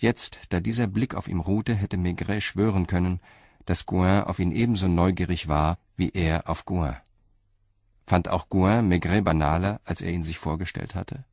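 A man narrating a radio crime play in a calm, even storytelling manner. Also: low-pitched, moderate pace, dry recording, clean studio sound.

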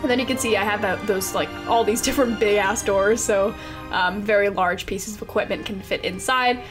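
A woman's voice: medium pitch, normal speed, music in the background. A young woman with a stuffy, congested voice from a cold, chatting casually and rambling as she narrates her game build, with lots of fillers and little laughs. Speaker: Sims speed-build creator.

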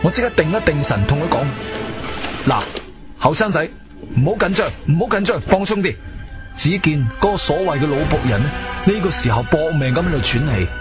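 A male actor playing a shrewd adventurer telling his own story in a Cantonese radio drama. His voice sits a little high for a man and swings widely with emphatic, expressive delivery. Prominent music plays behind him. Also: a little fast, close to an everyday voice. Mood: calm, probing. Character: male adventurer hero, shrewd, firm, questioning investigator. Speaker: narrator and lead actor. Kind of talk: dramatic first-person audio drama narration. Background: background music.

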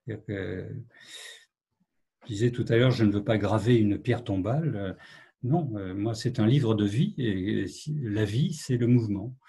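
Male novelist, mellow breathy voice, thoughtful and halting, over a video call. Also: lowish voice. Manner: reflective, hesitant, many fillers and restarts. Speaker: novelist, guest. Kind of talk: online literary conversation.

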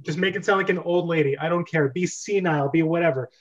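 Young male host, fairly high voice, casual script-club direction over a muffled video call. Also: fast talker. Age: young adult. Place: small bedroom, on a video call. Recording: dull lo-fi video-call audio.

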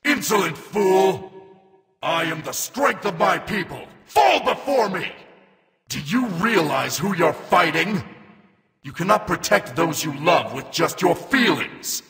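A proud robot warrior god from a video game, with a high, gravelly voice that swoops wildly. He declaims grandiose battle cries at a very slow, weighty pace. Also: very animated, a bit bright, long reverb tail, exaggerated voice. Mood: scornful, taunting. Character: proud, warlike armored mecha warrior god of death. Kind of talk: video game character voice lines.

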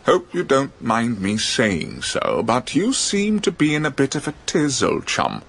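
Creaky, genial British ghost gentleman. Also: mid-range voice, huge pitch swings, a little slow, heavily acted. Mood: genial, fussy. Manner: polite, dated upper-class chumminess. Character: genial old-fashioned English ghost gentleman, fussy and oblivious. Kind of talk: radio drama acting.

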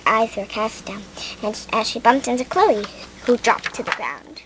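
A female reader giving an expressive, sing-song reading of a rhyming children's picture book. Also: on the high side, moderate pace, slight background noise, rough recording. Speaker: storybook reader.

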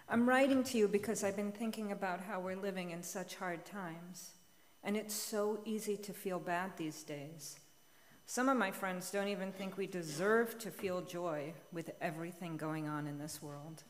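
A middle-aged woman reading a homily aloud as a letter from a church pulpit. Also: medium pitch. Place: large church sanctuary, at the pulpit. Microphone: gooseneck pulpit microphone. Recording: long hall reverb of church sanctuary.

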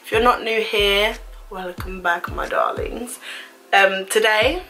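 A woman's voice: mid-range voice, slow, bright, forceful tone, recorded from a distance, soft background music, rough recording. A woman, chatting casually and gushing through a clothing try-on haul, with slangy asides. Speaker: fashion vlogger. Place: small bedroom indoors. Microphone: camera on a stand a few feet away.

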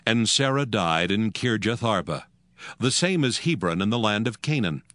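A man narrating scripture in a solemn, measured and formal scripted style. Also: fairly low pitch, big pitch swings, fairly bright tone, dry recording, studio quality.

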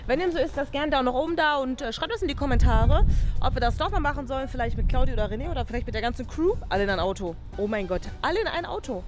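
A woman's voice: high voice, expressive, rapid-fire. A young woman chatting casually as a video host, recorded outdoors on a camera. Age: young adult. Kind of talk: casual challenge vlog chat. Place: outdoors by an open car boot in a parking lot. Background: background music.

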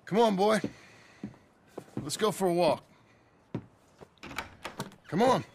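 An actor playing a stern but caring former outlaw turned rancher father in a video game, gravelly and terse with rural plain speech. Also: high voice, lively intonation, slow pace, darkish tone, slight background noise, exaggerated voice. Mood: gruff coaching. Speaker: game character. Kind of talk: video game cutscene acting.

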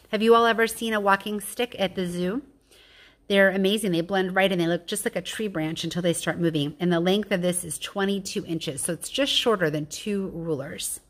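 A woman reading a children's picture book aloud like a teacher, explaining things with informal asides and questions to her listeners. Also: on the low side.

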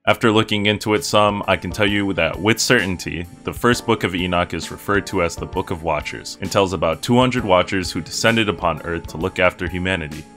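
Low-voiced young man narrating over music. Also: brisk, hardly any pauses, fairly bright tone. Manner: irreverent, joking, swears casually. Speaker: video essay narrator. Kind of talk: casual scripted video essay narration. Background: music with guitar under the voice.

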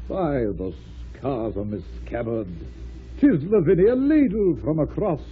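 An actor playing a noble, pompous leader of knightly knives, grand and commanding in old-fashioned radio style. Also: male voice, on the high side, very animated, unhurried, husky, heavily acted. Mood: courtly. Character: noble pompous leader knife of a square table. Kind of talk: 1940s radio acting. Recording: tinny old radio recording.

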